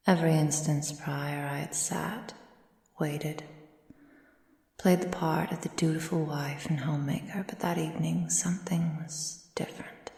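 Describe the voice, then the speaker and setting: A woman playing an ancient, proud, possessive aristocratic vampire in a soft, breathy ASMR roleplay with formal, measured delivery. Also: sits low. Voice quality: breathy, soft, often near whisper. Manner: slow, formal, measured, imperious. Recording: roomy sound with long reverb.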